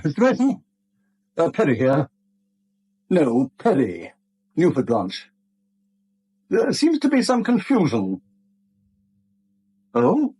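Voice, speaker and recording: An older British bank manager in a seventies radio drama. His voice sits fairly high and swings in pitch, with a husky edge. He is curt, proud and full of wounded dignity. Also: dark tone, exaggerated voice. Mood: tense, offended. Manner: curt, indignant, self-pitying.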